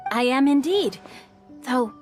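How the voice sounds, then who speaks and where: An actor playing a polite, literary youth in a video game, composed and gently wry. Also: sits high, animated, takes it slowly, loud music behind the voice. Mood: politely amused. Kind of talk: English video game voice acting.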